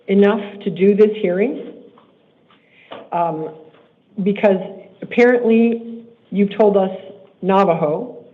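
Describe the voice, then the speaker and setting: A man acting as judge in a court hearing. He speaks in a stern, measured way and repeats himself when he is pressing a point. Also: high voice. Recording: tinny court livestream audio.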